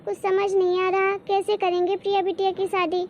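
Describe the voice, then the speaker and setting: A woman's voice: very high voice, completely flat delivery, brisk. A woman voicing an emphatic, devoted female character in a Hindi cartoon family story. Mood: worried, defensive. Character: excited, devoted young daughter and her protective mother.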